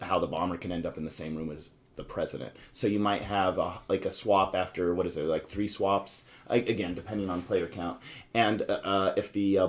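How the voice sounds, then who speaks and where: A man hosting a casual podcast about board games, explaining things in a rambling way and circling back over his points. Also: deep voice. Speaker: podcast host.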